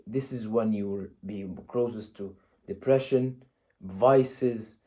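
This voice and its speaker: A man's voice: fairly low pitch, slow pace, mellow. A young man from Egypt giving a blunt, casual motivational talk, peppering it with "you know" and "yeah?". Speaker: motivational vlogger.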